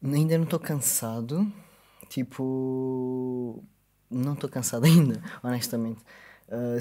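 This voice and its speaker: A man with a European Portuguese accent, a guest on a podcast, speaking in a reflective, measured way in a small studio on a boom-arm mic. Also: a little mellow. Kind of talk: casual podcast conversation.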